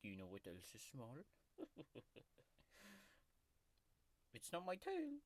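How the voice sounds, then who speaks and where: A woman's voice: a bass voice, wildly swooping pitch, normal speed, exaggerated voice. A woman voicing a grumpy, foul-mouthed male tiger-like creature who whispers and mocks while reading a bedtime story as comedic ASMR. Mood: mocking storytelling. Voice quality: whispery, crude and mocking.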